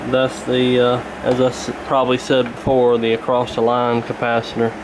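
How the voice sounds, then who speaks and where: A man narrating a repair casually in an unhurried, halting, matter-of-fact way. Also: mid-range voice, monotone, noisy background. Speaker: radio repair hobbyist presenting.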